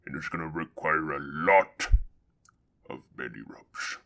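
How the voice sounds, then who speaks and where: An actor playing a large, weary creature who drags out his words slowly and asks for belly rubs. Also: very deep voice, vocal fry, bright, forceful tone, heavily acted. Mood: drawn-out. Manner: slow, drawn-out, repeating words.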